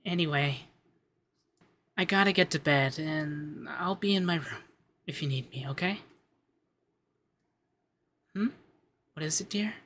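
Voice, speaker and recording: Soft, unhurried rich femboy acted by a man. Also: fairly high pitch. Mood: affectionate, flirty. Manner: soft-spoken, giggly, affectionate, hesitant fillers. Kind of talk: ASMR roleplay.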